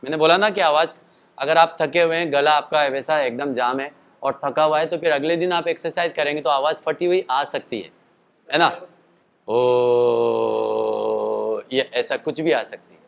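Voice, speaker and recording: A man with a slightly high voice leads a casual voice lesson, explaining in a chatty, rambling way. His throat sounds tired from a show the night before. He breaks off now and then to demonstrate calls and sung notes. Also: steady tone, unhurried, creaky, lo-fi sound. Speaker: singing and voice teacher.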